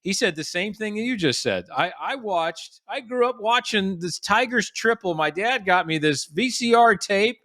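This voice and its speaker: A man's voice: high-pitched, expressive, normal speed, hardly any pauses, pushed and bright, no room sound. Chatty man in his forties, dry close sound. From United States. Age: 40s. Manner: chatty storyteller with frequent restarts and fillers. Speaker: guest, golf professional. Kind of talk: casual podcast interview.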